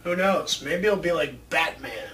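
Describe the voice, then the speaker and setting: A man's voice: fairly high pitch, lively intonation, unhurried, a bit bright. A man hosting a casual chat show about movies, rambling along with enthusiasm. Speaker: show host. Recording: distant mic, faint background noise.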